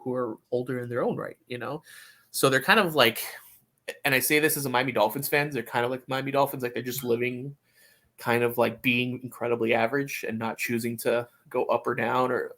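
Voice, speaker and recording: A man co-hosting a sports podcast, giving opinions in casual talk and often halting to find his words. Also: medium pitch, steady tone, pushed and bright. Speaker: podcast co-host. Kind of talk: casual soccer discussion.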